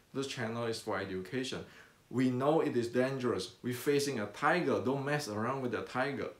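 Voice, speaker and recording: An older man with an Indonesian accent speaks unscripted, earnest and insistent, circling back to repeat his points. His speech is broken by fillers, false starts and repeated words. The voice sits at an ordinary pitch in an echoey room. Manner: earnest, repetitive, halting with many fillers and restarts. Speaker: martial arts teacher and channel host. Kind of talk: unscripted talk to camera.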